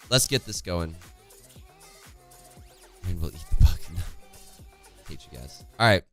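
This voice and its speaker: A young man with a worn-out, hoarse voice casting an esports match on a home stream, chatty and reading chat aloud, close to a studio mic. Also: deep voice, fairly bright tone. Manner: chatty, reads chat aloud, laughs often. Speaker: esports caster. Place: home streaming room, indoors. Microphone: studio condenser mic close in front, headphones.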